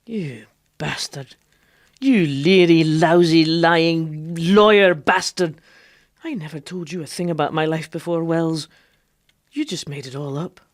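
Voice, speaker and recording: Casting: scornful Georgian woman who passed as a man, sharp-tongued, theatrical radio acting, middling pitch. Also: a little slow, no room sound, clean studio sound. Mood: angry, accusing. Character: defiant, bitter, foul-mouthed woman who lived as a man. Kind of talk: period radio drama acting.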